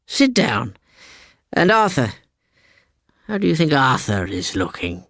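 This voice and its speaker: A man voicing an imperious old aunt, mockingly gracious and faintly sinister, with theatrical, over-polite, drawn-out phrasing. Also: medium pitch, huge pitch swings, gravelly, fairly bright tone, no echo, studio quality, voice pushed up, full character voice.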